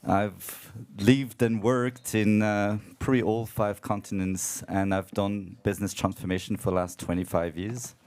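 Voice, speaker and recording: Low-voiced man with wry delivery. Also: darkish tone. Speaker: panelist, consulting partner. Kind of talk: live panel introduction.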